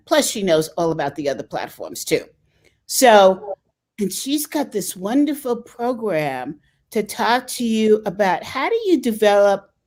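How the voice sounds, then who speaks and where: Woman host, playful chatty, slow, swooping pitch. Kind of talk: casual show introduction.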